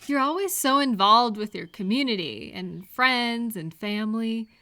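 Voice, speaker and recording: A woman acting a caring, cheerful friend in a scripted roleplay audio, talking gently and attentively to the listener. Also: on the high side, expressive, exaggerated voice. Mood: gentle, concerned. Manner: gentle, unhurried, attentive questioning with pauses for replies.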